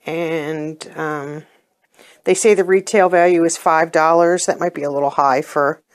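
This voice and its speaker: A middle-aged woman with a hoarse voice from a cold, chatting casually as she reviews beauty products and often pauses with ums. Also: low-pitched, fairly even intonation. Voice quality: hoarse, clears her throat. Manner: chatty, plainspoken, hesitant with ums. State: getting over a cold, losing her voice. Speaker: unboxing vlogger.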